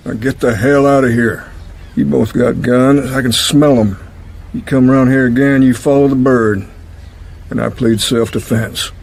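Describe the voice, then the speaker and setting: An actor playing a menacing waterfront tough in a radio drama, with an American accent and a curt, hostile manner. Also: male voice, mid-range voice, fairly even intonation, moderate pace, gravelly, a little mellow. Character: surly, menacing American waterfront thug with a rifle.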